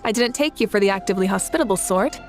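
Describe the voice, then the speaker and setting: An actress playing a confident, composed female leader in a fantasy video game, wry and measured. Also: mid-range voice, expressive, soft background music, lightly acted. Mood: amused, wry. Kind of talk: video game character acting.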